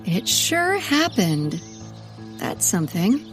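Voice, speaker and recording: A woman's voice: medium pitch, big pitch swings, takes it slowly, faint music behind. An actress playing a dry, blunt woman companion in a comedic period audio drama.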